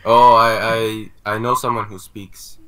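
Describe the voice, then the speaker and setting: A man's voice: fairly low pitch, level tone, unhurried, sharp tone. A man on a video call, a prospective client who politely asks questions with small restarts. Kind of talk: business call.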